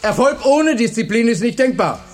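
A man acting in a radio drama as an authoritative, wry high-school teacher who teases as he addresses his class. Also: expressive, moderate pace. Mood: wry, challenging. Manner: authoritative, teasing.

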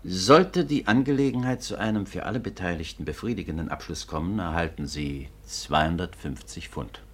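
An actor playing a courteous, businesslike police detective in a 1950s radio drama, speaking formally and matter-of-factly. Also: male voice, sits low. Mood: calm, courteous. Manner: measured, matter-of-fact, formal. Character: courteous, businesslike male Scotland Yard detective.

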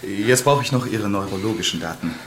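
Man playing businesslike medical examiner, low voice, casual brisk manner, radio play, faint background noise. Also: fairly bright tone, natural voice. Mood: matter-of-fact. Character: brisk male medical examiner doing formalities. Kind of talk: radio drama dialogue.

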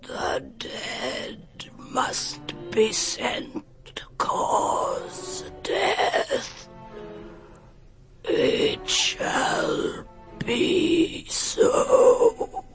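An actress playing an eerie witch chanting of death in a radio drama, in a creaky, breathy near-whisper. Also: very high voice, big pitch swings, very slow, music in the background, exaggerated voice. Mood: eerie, fervent.

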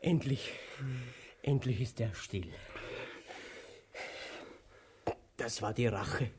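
An actor in a German radio play, playing a timid, resigned tramp who echoes the others. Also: male voice, mid-range voice, unhurried, noisy background, close to an everyday voice. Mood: relieved, anxious.

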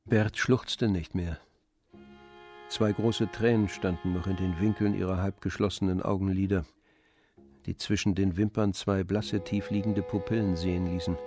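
A man narrating a literary radio drama in a measured, composed storytelling manner. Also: sits low, flat delivery, darkish tone, soft background music. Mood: sober.

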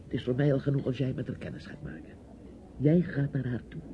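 A dull, hissy old radio play recording of an actor as a bossy older man. His pitch swings widely as he rambles, hesitates and gives orders in a nosy, theatrical way. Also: very animated, brisk, natural voice. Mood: bossy, needling. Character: chatty, bossy, nosy older relative with a niece. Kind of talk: radio drama acting.

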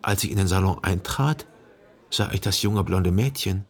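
An actor playing a reflective, observant gentleman who narrates his memories in a literary radio drama, measured and articulate, with a husky voice. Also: low voice.

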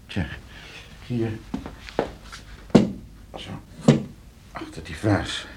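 A smug, scheming lawyer in a radio drama. His Netherlands Dutch is suave and condescending, swinging widely in pitch as he repeats himself with false hospitality. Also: expressive, very slow, very noisy, full character voice. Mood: musing to himself. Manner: suave, hesitant repetitions, condescending. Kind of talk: radio play acting.

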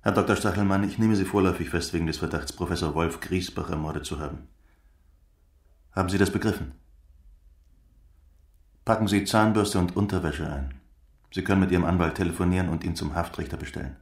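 Gravelly, low-voiced stern detective. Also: male voice, a little fast, long pauses. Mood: stern, official. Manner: clipped, formal, cold. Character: stern, official police detective making an arrest. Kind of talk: German radio crime drama acting. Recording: roomy, echoing sound.

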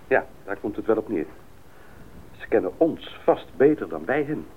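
Radio play: earnest, thoughtful explainer, lively melody, narrow lo-fi sound with faint noise. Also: male voice, on the low side, moderate pace. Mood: earnest, reflective. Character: thoughtful, knowledgeable male expedition member, reasonable and earnest. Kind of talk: Dutch radio play acting. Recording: tinny old radio recording, faint noise.

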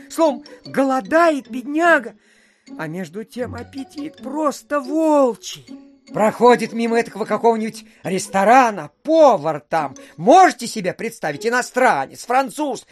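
An actor playing a chatty provincial official who spins a folksy, rambling tale in an audio play, full of filler phrases. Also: male voice, very high voice. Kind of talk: theatrical storytelling in an audio play.

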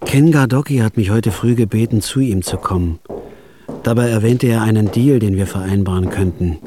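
A man's voice: fairly low pitch, moderate pace, music in the background, close to an everyday voice. A man playing a bitter, jobless gambler. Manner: bitter, blunt, crude. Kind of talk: radio drama acting and narration.

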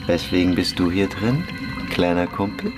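A man playing a simple, remorseless prisoner in a German radio play, speaking in a deadpan, halting way. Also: deep voice, music in the background. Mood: flat, matter-of-fact. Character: simple, matter-of-fact male prisoner, remorseless village bomber.

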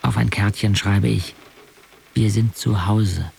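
A man's voice: fairly low pitch, steady tone, takes it slowly, vocal fry, husky, exaggerated voice. An actor playing a determined young man who tells his own story matter-of-factly in a radio drama. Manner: matter-of-fact, terse present-tense telling. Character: young wheelchair-using man, determined arm wrestler telling his story. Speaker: narrator and main character. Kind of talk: scripted first-person radio drama narration. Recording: loud music behind. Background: music.

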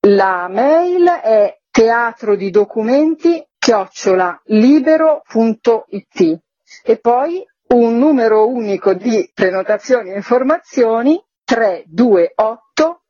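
A woman, a theatre artistic director, explaining a programme in a measured way as a radio interview guest. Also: fairly high pitch, mellow, dry recording. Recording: dull lo-fi radio interview audio.

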